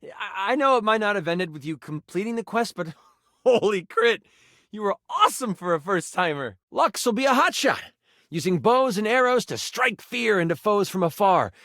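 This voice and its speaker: A man's voice: sits high, big pitch swings, moderate pace, pushed and bright, dry recording, studio quality, full character voice. An actor voicing a personified gaming die as a nerdy, earnest, awkward man who stammers and gets theatrical, in video game style. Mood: encouraging, appreciative. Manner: hesitant, stammering, effusive, theatrical gamer talk.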